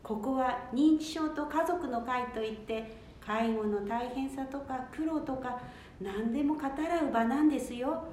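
Woman as high-pitched, kind care manager, echoey. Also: a little slow, darkish tone. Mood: encouraging. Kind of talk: amateur radio drama acting. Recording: very echoey room, faint rumbling noise.

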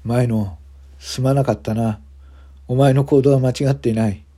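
A man's voice: mid-range voice, steady tone, moderate pace, dark tone, close to an everyday voice. A man voicing a deferential hotel manager who speaks in formal, apologetic language. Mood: gentle.

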